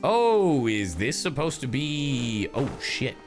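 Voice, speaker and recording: A man's voice: mid-range voice, very animated, slow pace. A man livestreaming a game with casual, joking, sweary commentary, reacting as he plays. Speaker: streamer and professional voice actor. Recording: faint game music behind. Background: game music.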